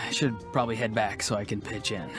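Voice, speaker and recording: A man's voice: on the low side, fairly even intonation, brisk, music in the background, lightly acted. Man playing a hesitant, laid-back young warrior. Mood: low-key. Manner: casual, hesitant, understated. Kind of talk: scripted video game voice acting.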